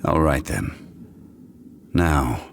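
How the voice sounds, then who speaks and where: An actor playing a wise, solemn ancient god in human form in a video game, speaking formally and with measure. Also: male voice, extremely low pitch, expressive, vocal fry, a little hiss or hum, full character voice. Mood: solemn, measured. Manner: measured, formal, archaic phrasing. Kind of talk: scripted video game voice acting. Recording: music behind the voice.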